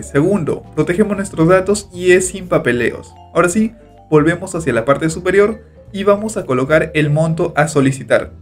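A man calmly narrating a step-by-step screen tutorial as host, walking through each click. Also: a little high. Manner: steady instructional narration, repeats click steps. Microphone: voice-over over screen recording.

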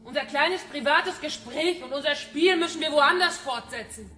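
A woman's voice: sits high, moderate pace, sharp tone, faint music behind. An actress playing a vengeful young female hacker in a radio crime drama, controlled and taunting. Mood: cold, defiant. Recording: echoey room with faint noise.

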